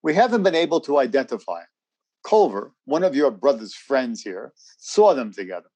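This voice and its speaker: An actor playing a brisk, guarded, courteous army major in a radio drama, with a formal, clipped, official way of speaking. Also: male voice, medium pitch, big pitch swings, mellow. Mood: grave, matter-of-fact.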